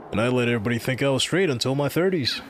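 A man playing a calm, fair-minded man. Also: medium pitch, a little hiss or hum, lightly acted. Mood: reasonable. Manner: measured, reasonable. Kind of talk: audio drama acting.

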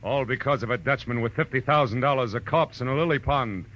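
An actor playing a laconic, wisecracking private detective with an American accent, in the style of 1940s radio drama. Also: male voice, mid-range voice, fast talker, fairly bright tone, lo-fi sound, close to an everyday voice. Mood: dramatic. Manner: dry, wisecracking, clipped. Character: wry, laconic hardboiled male private detective narrating his case. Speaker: lead actor and narrator. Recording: old radio recording with music underneath.

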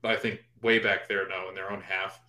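A man co-hosting a casual sports podcast, thinking out loud in loose, rambling sentences. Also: low voice, flat delivery, a little fast. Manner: rambling, full of fillers and restarts. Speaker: podcast co-host. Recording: roomy echo.

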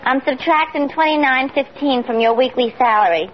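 A woman's voice: high-pitched, normal speed, lightly acted. A woman acting a wry, teasing tavern cashier in an old radio comedy. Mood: exasperated. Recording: muffled, hissy old radio recording.

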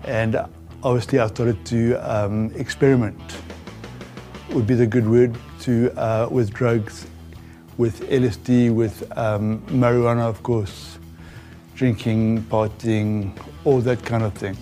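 Older male, Southern African accent, reflective testimony storyteller, halting delivery, mid-pitched, music underneath. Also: little pitch movement, warm tone. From Zambia. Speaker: guest giving a testimony.

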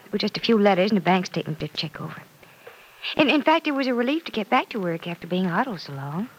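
Husky, troubled young woman in a radio soap. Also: medium pitch, very animated, low-quality recording. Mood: guarded, low-spirited. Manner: hesitant, halting confessions. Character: troubled, lovestruck young daughter, earnest and wistful. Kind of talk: mid-century radio soap acting.

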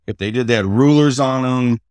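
A man's voice: mid-range voice, a little mellow, dry recording. Middle-aged man telling family stories. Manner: halting, with many fillers and restarts. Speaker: guest. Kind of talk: casual interview storytelling.

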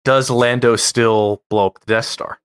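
A man's voice: medium pitch, fairly even intonation, measured pace, smooth. A man co-hosting a podcast, explaining things in casual fan chat. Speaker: podcast co-host.

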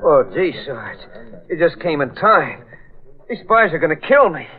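A blunt, feisty captive from a 1940s radio drama. His high voice jumps around with indignation. The sound is thin and tinny, like a phone line. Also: sing-song, a little slow. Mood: shaken, relieved.

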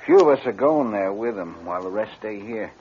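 An actor playing a tired, rough western outlaw in an old radio drama. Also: male voice, fairly low pitch, animated, fairly quick, darkish tone, close to an everyday voice. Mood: worn out. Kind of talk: 1950s radio western acting. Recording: dull, slightly noisy old radio recording.

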